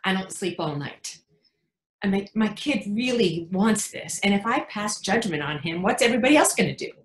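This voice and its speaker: A woman playing an anxious, protective mother in a frank, wry, confiding dramatic monologue, pausing for emphasis. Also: mid-range voice, expressive, close to an everyday voice. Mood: earnest, anxious. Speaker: actor in a readers theatre performance. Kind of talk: dramatic stage monologue, conversational storytelling. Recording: echoey room sound.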